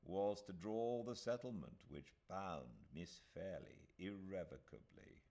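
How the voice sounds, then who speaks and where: Very deep-voiced British male narrator. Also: animated, takes it slowly, warm tone. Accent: British English. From Britain. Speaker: audiobook narrator. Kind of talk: literary audiobook reading of a classic novel.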